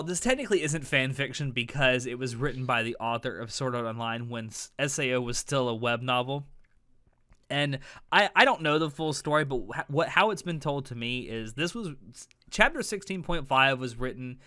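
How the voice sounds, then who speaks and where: A man's voice: medium pitch, animated, normal speed, bright, forceful tone, no room sound, studio quality. A man hosting a casual podcast, talking in a rambling, jokey way. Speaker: podcast host.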